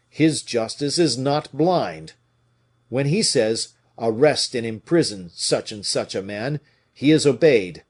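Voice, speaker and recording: A man voicing an indignant, scornful veteran musketeer with a rough, declamatory delivery in an audiobook. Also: mid-range voice, darkish tone. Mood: fierce, righteous. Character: fiery, indignant veteran musketeer, gruff old soldier. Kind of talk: dramatic audiobook character reading.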